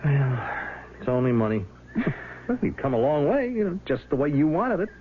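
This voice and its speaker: An actor playing a scheming, bitter husband who has turned blackmailer, sardonic and falsely easygoing, in 1970s radio drama style. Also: male voice, on the high side, big pitch swings, moderate pace. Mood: uneasy, casual. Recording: narrowband, noisy old radio broadcast recording.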